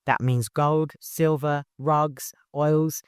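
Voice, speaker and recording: A man's voice: on the high side, fairly even intonation, drawn-out words, smooth, dry recording, studio quality, pitched above the performer's own voice. A man voicing a dutiful, careful steward in an audiobook, frank and formal in manner. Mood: businesslike. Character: dutiful, careful male steward leading a mission.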